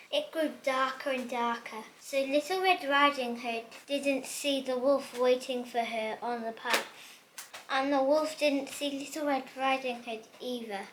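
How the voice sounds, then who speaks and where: A female storyteller with a very high, bright voice reads a children's tale. She is recorded at home in an echoey room with faint noise behind her. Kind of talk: scripted storybook narration for children. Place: at home, indoors.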